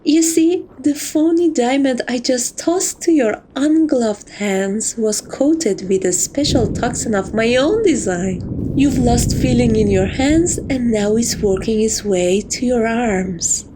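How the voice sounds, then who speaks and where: Slow, high-voiced teasing catgirl thief acted by a woman. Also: lively intonation, background noise. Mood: smug, gloating. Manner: teasing, coy, theatrical, affectionate. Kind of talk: romantic ASMR roleplay.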